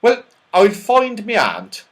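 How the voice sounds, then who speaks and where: A man voicing an excitable, scatterbrained, slightly deaf fellow from a children's storybook. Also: high voice, slow, voice pushed up, exaggerated voice. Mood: puzzled, eager. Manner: exclamatory, scatterbrained.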